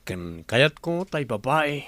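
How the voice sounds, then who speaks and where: An actor playing a young womanizer in a radio drama, cocky but protective, with a creaky voice. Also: mid-range voice, very animated, slow pace, fairly bright tone, no echo. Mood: callous, unrepentant. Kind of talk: radio drama acting in Ilocano.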